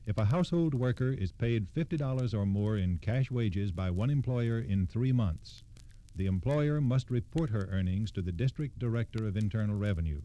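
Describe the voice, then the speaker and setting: A man's voice: on the low side, lively intonation, moderate pace, warm tone. An American man reading scripted old-time radio narration as a storyteller, with a formal, factual delivery.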